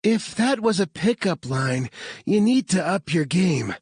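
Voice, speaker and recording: A woman's voice: fairly low pitch, very animated, measured pace, darkish tone, husky, no echo, pitched above the performer's own voice, full character voice. A man voicing a wry young woman hacker in an audiobook. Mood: wry, breathless.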